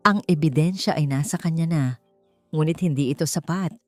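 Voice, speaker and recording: Lowish woman's voice doing dramatic audiobook narration, suspenseful, bit of music behind. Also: a little fast, a little mellow, no room sound. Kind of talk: dramatic scripted story narration. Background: background music.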